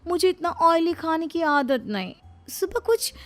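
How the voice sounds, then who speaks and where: Woman acting a high, haughty young second wife. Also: expressive, unhurried, faint music behind. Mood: haughty, coquettish. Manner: coquettish, disdainful.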